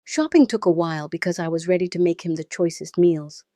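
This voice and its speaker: A woman's voice: lowish voice, fairly quick, warm tone, no echo, clean studio sound. A woman with a Nigerian accent telling a dramatic first-person story as its narrator.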